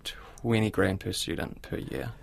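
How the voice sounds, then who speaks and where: Low, gravelly New Zealand male reporter. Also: unhurried, dry recording. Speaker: reporter and presenter. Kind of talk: conversational news narration and interviewing.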